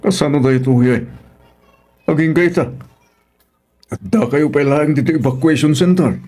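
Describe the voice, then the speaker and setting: A man with a Filipino accent playing a gravelly, caring older uncle in a radio drama. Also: a little high, normal speed, mellow, soft background music, close to an everyday voice. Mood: concerned, questioning.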